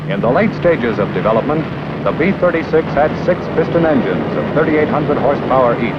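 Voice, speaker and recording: Man narrating an old newsreel, proud and lively. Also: a little high, fairly quick, very noisy. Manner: proud, declamatory. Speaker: documentary narrator. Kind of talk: scripted 1950s newsreel narration. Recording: dull old film soundtrack with music.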